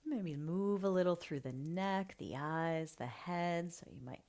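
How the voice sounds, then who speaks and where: A woman guides a breathing meditation in a low, gentle voice. She talks very slowly and leaves long pauses. Many phrases trail off into a soft half-whisper. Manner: slow, soft and soothing, often half-whispered.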